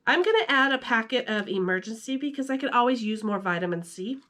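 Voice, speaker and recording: A woman's voice: on the high side, expressive, fairly quick, a bit bright, a little hiss or hum. A woman hosting with a playful, chatty manner, moving between casual talk and children's story reading. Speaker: video host and storyteller. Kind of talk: casual vlog chat and children's story read-aloud.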